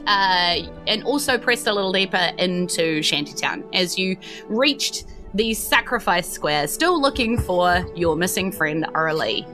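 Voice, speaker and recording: A woman hosting and narrating a tabletop role-playing game. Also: mid-range voice. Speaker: game master and host of a tabletop RPG podcast. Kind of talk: narrating a tabletop game, part recap, part casual chat.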